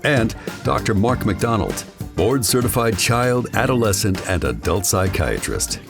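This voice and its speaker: A male announcer reads a scripted opening slowly, his low voice swinging widely in pitch. Clear music runs beneath. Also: animated. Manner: slow, announcing delivery. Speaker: show announcer. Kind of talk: scripted intro.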